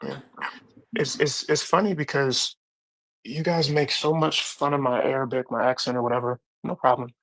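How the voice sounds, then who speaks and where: A man's voice: medium pitch, steady tone, moderate pace, a bit bright, rough recording. A man in a casual live-stream debate, talking bluntly and challenging others. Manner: blunt, challenging, reads scripture aloud. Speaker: debate panelist.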